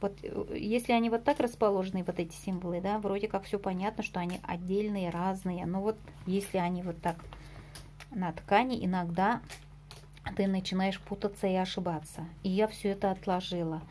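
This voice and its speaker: A woman chats about her embroidery in a homemade vlog, talking at a mid pitch with plenty of fillers and small self-deprecating asides. A faint hiss of room noise sits under her voice. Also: steady tone, fairly quick, dark tone. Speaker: embroidery vlogger. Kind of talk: casual show-and-tell vlog.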